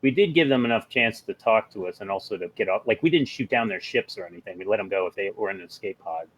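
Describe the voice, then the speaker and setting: A man's voice: on the low side, fast talker, breathy edge, low-quality recording. A man talking casually as a player in a tabletop role-playing game, discussing and asking questions.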